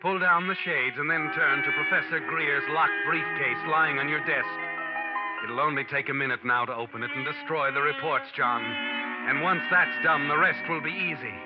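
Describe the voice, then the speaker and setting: A man's voice: fairly high pitch, moderate pace, close to an everyday voice. An actor playing a sinister, all-knowing male narrator who taunts the listener in the second person, in an old radio drama. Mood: ominous. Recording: dull vintage radio recording with music.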